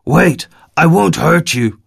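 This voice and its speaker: Brief: calm amnesiac young man, read by the male narrator, gentle, lively inflection. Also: fairly high pitch, slow pace, vocal fry, husky, no echo, clean studio sound, pitched above the performer's own voice, heavily acted. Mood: pleading. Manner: gentle and pleading. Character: polite young man who has lost his memory.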